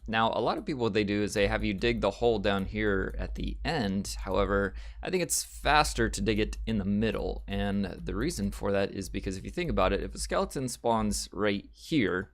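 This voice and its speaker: A man hosting a casual video game let's-play, chatty and rambling, his voice a little raspy and off today. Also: fairly low pitch. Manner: chatty and rambling, with frequent ums and uhs. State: slightly raspy, voice a bit off. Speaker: gaming channel host.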